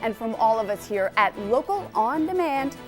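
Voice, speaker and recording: Woman host reading local news, upbeat, soft music under it. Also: fairly high pitch, very animated, moderate pace. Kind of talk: scripted news narration.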